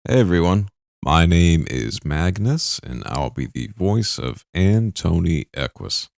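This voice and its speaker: Deep-voiced man, creaky and lively. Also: a little slow, dry recording, clean studio sound. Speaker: voice actor. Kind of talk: scripted promotional introduction.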